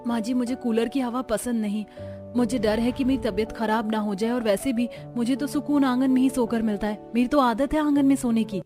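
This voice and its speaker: A woman voicing a calm, modest young village daughter-in-law in a cartoon story, gentle and polite. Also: a little high, fairly quick, music in the background, natural voice. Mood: polite, reassuring.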